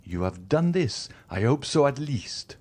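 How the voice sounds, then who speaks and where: A man's voice: fairly low pitch, lively intonation, a little slow, darkish tone. A man playing a stern, furious magistrate. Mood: accusing. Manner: accusing, rising to furious outbursts. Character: stern contemptuous magistrate husband.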